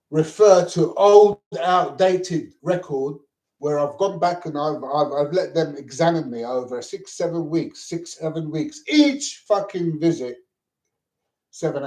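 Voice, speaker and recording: A middle-aged man, originally from Lebanon, rants in a rambling monologue. He repeats himself and swears freely, and his voice sits a little high for a man. The sound is roomy and echoey. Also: dark tone. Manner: agitated, repetitive, swears. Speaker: vlogger. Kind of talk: rambling personal monologue to camera. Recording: echoey room.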